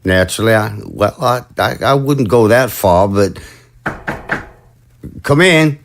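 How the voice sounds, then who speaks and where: A man's voice: lowish voice, animated, slow, vocal fry, slight background noise, exaggerated voice. A man voicing a flustered undead male president who stammers and startles easily in an audio drama. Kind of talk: amateur audio drama acting.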